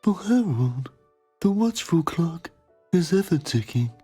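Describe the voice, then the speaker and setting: A man's voice: on the high side, sing-song, measured pace, dark tone, husky, faint music behind, exaggerated voice. A man playing a pompous TV journalist, narrating a mock documentary in a solemn, melodramatic manner. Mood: grave, pompous.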